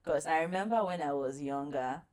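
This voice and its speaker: A woman doctor with a deep voice, talking on a panel and sharing a personal story in a storytelling manner. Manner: warm storytelling, quick to laugh. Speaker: pediatric doctor and guest. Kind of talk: panel chat with personal anecdote.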